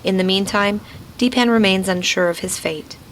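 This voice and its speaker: Woman, radio reporter, scripted documentary narration, mid pitch, faint background ambience. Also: normal speed, fairly bright tone, a little hiss or hum, rough recording.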